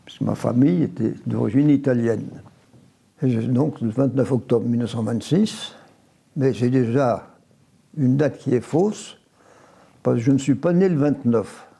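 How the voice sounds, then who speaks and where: A man in his nineties recounting his life in an interview, unhurried, often restarting and correcting himself. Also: medium pitch, creaky, warm tone, husky. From France, grew up near Évian after early childhood in Italy. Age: 90s. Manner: unhurried storytelling with frequent restarts and self-corrections. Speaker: veteran interviewee. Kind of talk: oral history interview, recounting memories.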